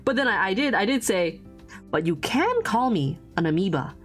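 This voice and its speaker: A woman's voice: mid-range voice, sing-song, normal speed, faint music behind. A young woman streaming while she draws, chatting casually with viewers in a giggly, rambling way. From Vietnamese family, born outside Vietnam. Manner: chatty and giggly, lots of restarts and fillers.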